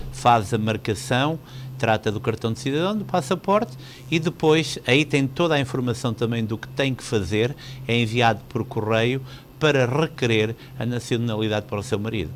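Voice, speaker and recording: A man's voice: medium pitch, moderate pace, slight background noise. A man with a European Portuguese accent hosting a live phone-in show, courteous and chatty, dropping in the odd English word. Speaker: call-in show host.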